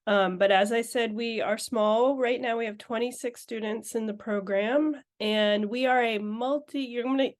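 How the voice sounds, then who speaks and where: A woman's voice: a little high, steady tone, moderate pace, few pauses. A woman who directs an arts program, giving an earnest, informal overview over a video call, thinking aloud with restarts. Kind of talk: informal presentation.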